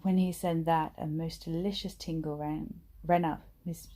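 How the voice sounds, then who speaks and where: A woman reading a children's bedtime story aloud in an expressive, acted-out manner. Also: deep voice, moderate pace. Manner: animated, acts out dialogue and sound words. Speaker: storybook reader.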